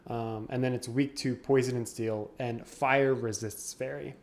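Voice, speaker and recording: A man's voice: medium pitch, steady tone, unhurried. Young man who laughs a lot. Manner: laughs often, explains game rules. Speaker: podcast co-host. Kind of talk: casual chat.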